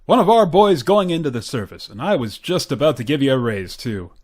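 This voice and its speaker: A man acts a hearty, pompous company boss from a classic radio comedy. His mid-pitched voice swoops up and down with bluster as he patronizes in a fatherly, tight-fisted way. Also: big pitch swings, brisk, darkish tone, breathy edge. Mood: hearty, congratulatory. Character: blustery, stingy, patronizing male boss, middle-aged or older. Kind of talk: old-time radio sitcom acting.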